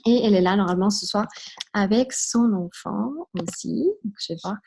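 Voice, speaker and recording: A woman's voice: medium pitch, normal speed, vocal fry, a little mellow. A young woman from Switzerland, speaking French with a light Italian accent. She hosts an online video conference and tells her own story in a chatty, filler-filled way, with small laughs scattered through her speech. Her voice comes over a video call. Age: 20s. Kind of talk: testimonial presentation.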